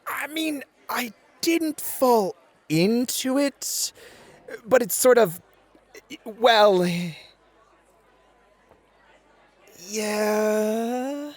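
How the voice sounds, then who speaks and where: An actor playing a beefy, experienced club host, a flirty and politely teasing charmer in a scripted audio roleplay. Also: male voice, extremely high pitch, very slow, dry recording, full character voice. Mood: flustered, hesitant.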